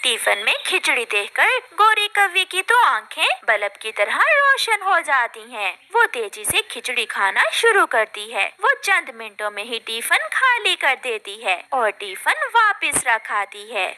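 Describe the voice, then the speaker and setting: A woman narrating a cartoon story in a lively, expressive way. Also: high voice, a little slow, few pauses.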